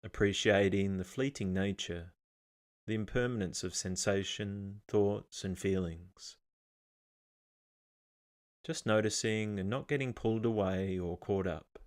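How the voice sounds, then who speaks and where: A man with a fairly low voice leads a guided meditation. His delivery is calm and measured, giving gentle step-by-step instructions and leaving pauses between phrases.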